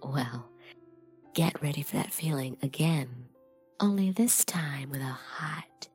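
A woman with a breathy voice that often slips into whispering, delivering scripted adult hypnosis audio in a dominant, deliberate, commanding manner. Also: deep voice, a little mellow, faint music behind. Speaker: erotic hypnosis performer.

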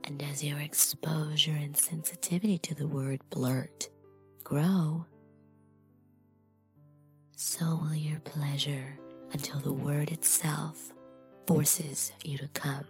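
Slow, low, whispery woman giving hypnotic commands. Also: fairly even intonation, soft background music. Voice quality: breathy, often whispering. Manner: dominant, deliberate, commanding. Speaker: erotic hypnosis performer. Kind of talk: erotic hypnosis audio, scripted.

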